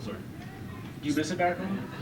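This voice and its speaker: A man's voice: sits high, steady tone, normal speed, music in the background. A man working as a reporter, asking interview questions conversationally from off the microphone.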